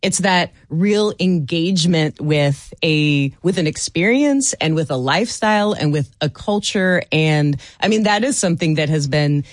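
A woman speaking as a guest on a radio panel, thoughtful and quick to laugh. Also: low voice, lively intonation, no room sound, clean studio sound. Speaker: guest expert.

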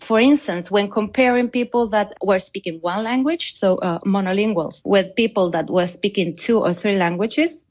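A woman researcher, not a native English speaker, explaining her work in an interview and often repeating words as she goes. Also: mid-range voice. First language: non-native English speaker. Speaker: academic researcher being interviewed. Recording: dull, band-limited audio.

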